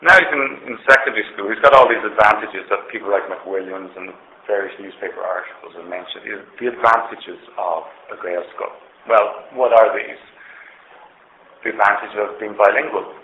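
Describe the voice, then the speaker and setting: A man's voice: on the low side, normal speed. A man lecturing on sociology, explaining his argument step by step and often correcting himself mid-sentence. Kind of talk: university lecture. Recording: roomy, dull lecture hall recording with faint hiss.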